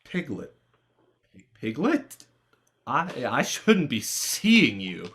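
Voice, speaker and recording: A man's voice: mid-range voice, wildly swooping pitch, extremely slow, a bit bright, exaggerated voice. A man playing a lonely, self-deprecating teenage boy in a stage play reading, wry and hesitant, as if talking to himself. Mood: bewildered.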